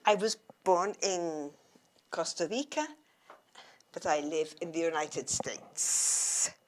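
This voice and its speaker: A woman's voice: on the low side, slow, slight background noise. A woman working as a simultaneous interpreter, steadily and carefully relaying a speaker's prepared talk.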